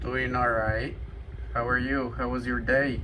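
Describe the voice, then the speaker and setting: A man in casual radio chat and demonstrating equipment, talking in a halting, hesitant way. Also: medium pitch, level tone, very noisy. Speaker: amateur CB radio operator demonstrating a radio for sale.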